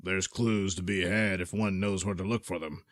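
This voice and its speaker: A man's voice: low voice, fairly even intonation, moderate pace, no room sound, studio quality, pitched below the performer's own voice, natural voice. A man playing a pompous, short-tempered bungling superhero, bossy and foul-mouthed, in a comedic radio serial. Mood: smug, commanding. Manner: self-important, blustering, easily exasperated.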